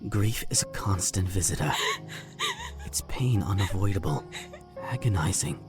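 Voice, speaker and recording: A man's voice: low-pitched, animated, measured pace. A man voicing a wise, devoted husband who speaks in a solemn, tender and formal manner in a fan drama dub. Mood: solemn consolation. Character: devoted, wise, consoling long-lived husband. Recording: music bed under the voice.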